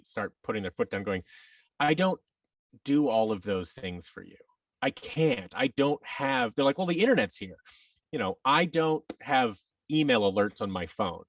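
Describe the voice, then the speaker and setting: A man in his thirties speaks in casual interview conversation with a lively, swinging pitch and some gravelly fry. He rambles and gives firm opinions, a little way off the mic in a dry space. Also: no echo, recorded from a distance. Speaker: podcast guest, audio drama producer and actor.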